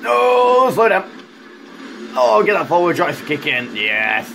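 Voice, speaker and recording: A man with a croaky voice, chatting excitedly through a racing game as a gaming YouTuber, rambling with fillers. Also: high voice, measured pace, noisy background. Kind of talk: casual racing game commentary.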